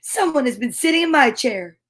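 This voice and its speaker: A woman's voice: sits high, animated, moderate pace, a bit bright. A woman voicing a fussy, motherly mama bear in a storybook, with fretful, old-fashioned exclamations. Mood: dismayed.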